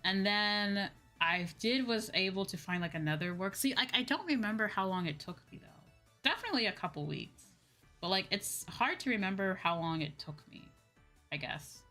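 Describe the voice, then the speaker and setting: A woman's voice: mid-range voice, lively intonation, normal speed, bright, forceful tone. A young woman, a livestream host chatting casually in an informal, rambling way and repeating phrases for emphasis. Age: young adult. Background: background music.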